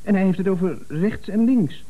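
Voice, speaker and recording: High-voiced, fussy office man. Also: normal speed, narrow, tinny audio. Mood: puzzled, suspicious. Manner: hesitant, pedantic, argumentative. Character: earnest, stubborn middle-management male office employee. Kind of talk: 1970s Dutch radio comedy acting.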